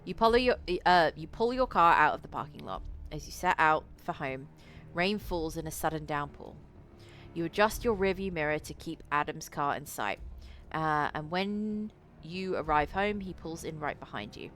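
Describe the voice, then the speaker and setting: A woman streamer with a low voice reading a visual novel aloud, dropping in casual, sweary asides. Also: moderate pace. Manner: sweary, excitable reactions in asides.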